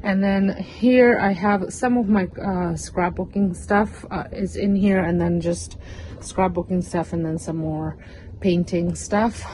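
A woman's voice: fairly low pitch, fairly even intonation, slow pace, a little mellow, a little hiss or hum, poor audio. A middle-aged woman giving a casual, rambling walkthrough of her basement craft room, full of fillers, recorded on a phone camera. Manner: chatty, rambling, with frequent fillers and restarts. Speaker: home organizing vlogger. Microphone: phone camera, handheld and on a stand.

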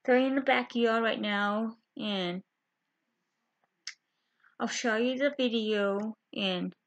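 A young woman chatting to her webcam from a small bedroom, halting, with many fillers and restarts. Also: a little high. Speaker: vlogger. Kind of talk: casual chat to camera. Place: small bedroom with window blinds. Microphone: webcam at close range. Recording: dull lo-fi webcam audio.

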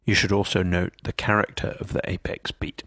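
A man reading scripted medical instruction in a measured, precise, impersonal manner. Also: sits low, flat delivery, a bit bright, dry recording, studio quality. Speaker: instructional narrator.

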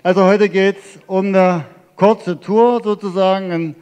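A man's voice: sits high, fairly even intonation, measured pace, over a noisy crowd, heavy background noise. A middle-aged man from Germany giving a chatty, explanatory travel slideshow talk to a live audience, speaking into a handheld microphone in a large theatre hall. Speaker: travel lecturer presenting his cycling slideshow. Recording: reverberant hall.

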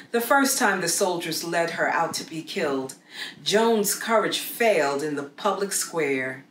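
A middle-aged woman reading a children's story aloud with expressive, deliberate dramatic emphasis, recorded in a small room. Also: on the low side. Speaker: storybook read-aloud narrator. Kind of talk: scripted narration, children's read-aloud story. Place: small plain room, indoors. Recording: roomy echo with clear background noise.

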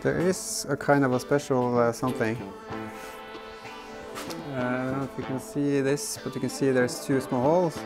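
A man in his thirties with a mid-pitched voice talks casually through a job in his garage. He is hesitant and works things out aloud as he goes. Light music plays behind him. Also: level tone, normal speed. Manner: hesitant, thinking aloud while working. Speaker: car DIY vlogger. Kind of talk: casual hands-on workshop commentary. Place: garage workshop and inside the back of a car.

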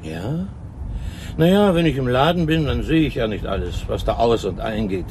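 An actor playing a nosy, talkative neighbourhood shopkeeper in a German radio crime drama, speaking familiarly. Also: male voice, mid-range voice, lively intonation, normal speed, darkish tone, slight background noise, lightly acted. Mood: gossipy.

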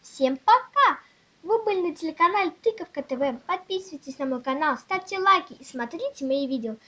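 A female host chatting casually in a toy unboxing video, showing things step by step in a simple, halting way. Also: very high voice, lively intonation, sharp tone. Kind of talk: casual unboxing vlog chat.

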